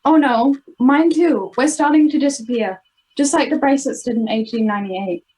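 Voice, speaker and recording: A woman's voice: high voice, normal speed, a little mellow, natural voice, low-quality recording. An Australian girl of ten or eleven playing an earnest, urgent schoolgirl adventurer in an amateur radio play. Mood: alarmed. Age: child, 10 to 11. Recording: home video-call recording.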